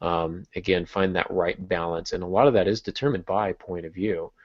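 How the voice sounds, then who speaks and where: A man's voice: deep voice, steady tone, normal speed, a little mellow. A man co-hosting a writing podcast, explaining things in an informal, conversational way. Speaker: podcast co-host. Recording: roomy, slightly dull podcast recording.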